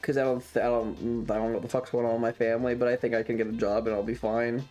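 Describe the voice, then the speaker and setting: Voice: mid-range voice, little pitch movement, quick pace, mellow, soft background music. A young adult streamer chatting casually to viewers in a hesitant, rambling way that often repeats phrases. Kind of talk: casual livestream chat.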